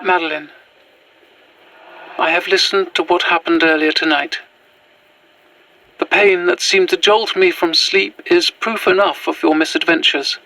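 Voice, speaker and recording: An actress playing a formal Victorian woman's spirit in a Gothic audio drama, with measured old-fashioned diction. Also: lowish voice, steady tone, sharp tone, rough recording. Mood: formal, grateful. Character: formal, dignified Victorian woman spirit possessing another's body.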